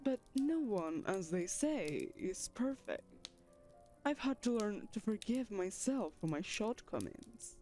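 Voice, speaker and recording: A woman's voice: mid-range voice, sing-song, slow, warm tone, faint music behind. A woman playing an ancient vampire mistress in an audio roleplay, syrupy, possessive, condescending and theatrical. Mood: self-pitying, breezy. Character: ancient female vampire mistress, saccharine, possessive, callously vain.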